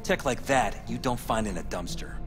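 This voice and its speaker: Cool game newsman played by a man: low voice, terse and probing, music clearly behind. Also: natural voice. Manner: measured, laconic, probing. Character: cool, pragmatic male investigative reporter. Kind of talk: cinematic video game acting.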